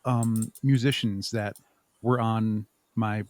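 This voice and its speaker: A man's voice: lowish voice, little pitch movement, slow, darkish tone, no echo. A man speaking casually as a podcast guest, explaining his creative work in a rambling, chuckling way. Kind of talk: casual interview chat.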